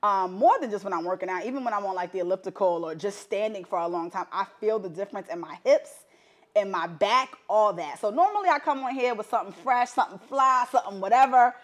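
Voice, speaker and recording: A woman, hosting a casual interview, chatty and quick to laugh with playful asides. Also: medium pitch. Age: 30s. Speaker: podcast host.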